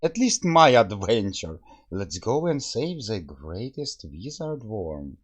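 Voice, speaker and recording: A man's voice: lowish voice, big pitch swings, slow, husky. A man giving casual, playful gameplay commentary as he reviews a game, sounding amused. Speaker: game reviewer playing along.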